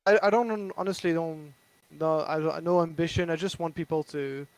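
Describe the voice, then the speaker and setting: A man's voice: fairly high pitch, fairly even intonation, normal speed, no echo, poor audio. A man with a French accent, chatting casually about a board game he designed, hesitant with many restarts. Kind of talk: casual chat.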